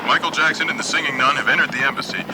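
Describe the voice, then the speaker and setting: A man's voice: mid-range voice, racing, natural voice. A man playing an earnest live news correspondent reporting from a siege in a satirical radio play. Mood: urgent, reporting. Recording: loud helicopter-like noise behind.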